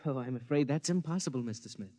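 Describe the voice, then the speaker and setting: A man acting a polite bank teller in a 1950s radio play. His medium-pitched voice is apologetic and hesitant, recorded dry and close. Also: lively intonation, fast, mellow, no room sound, lightly acted. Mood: politely apologetic. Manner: courteous, hesitant.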